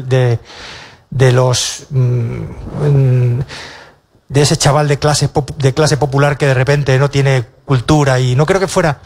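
A man's voice: on the high side, a little mellow. Male comic, 40s, panel guest, hesitant and wry, handheld mic on stage. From Castilla-La Mancha, Spain. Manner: halting, many restarts and fillers, wry self-mocking. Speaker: comedian on a panel. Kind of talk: panel discussion. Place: stage of a cultural centre auditorium.

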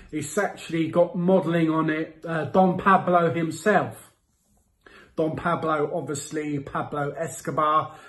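A man in his thirties giving a casual product review to camera, with a playful, theatrical delivery and drawn-out words. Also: sits high. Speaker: host of a beer review series. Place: small indoor room with window blinds.

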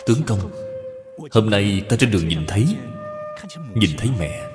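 A man's voice: lowish voice, normal speed, close to an everyday voice. A man voicing an aggrieved but filial scholar husband in a cartoon, formal and emotional. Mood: hesitant, subdued. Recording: long reverb, music behind.

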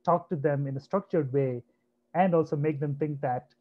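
A man in his thirties from India with a naturally soft voice, presenting a career webinar in a conversational way and often checking in with "right?". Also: a little high, moderate pace. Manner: conversational, repeats himself, asks "right?" often. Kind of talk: online career webinar talk. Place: home room on a video call. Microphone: computer mic on a video call. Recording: dull lo-fi webinar audio.

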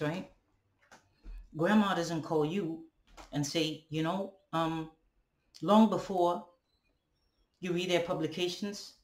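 A young man from Ghana with a Ghanaian accent, speaking a halting, deliberate, reflective monologue to a webcam at home, often checking in with questions. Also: on the high side. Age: young adult. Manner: halting, deliberate, rhetorical questions and tag "right?". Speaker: vlogger. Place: indoors, room at home with bare walls and ceiling fan. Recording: echoey room, webcam at a distance.

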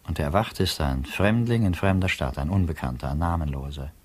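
An actor playing a brooding, ironic public prosecutor in a 1950s German radio drama, speaking in a reflective, measured, philosophizing way. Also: male voice, a bass voice, expressive, a little mellow, breathy edge, heavily acted. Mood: pensive.

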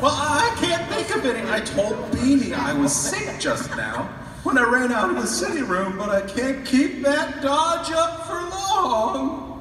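A man's voice: very high voice, very animated, unhurried, a crowd in the background, heavily acted. A man playing an earnest, flustered superhero in his civilian guise, hammy and stammering, in a live comic radio play. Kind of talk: live old-time radio drama performance, played for laughs. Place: large convention hall stage with audience. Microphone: panel microphone through PA, filmed from audience. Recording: echoey convention hall, distant, noisy audience recording.

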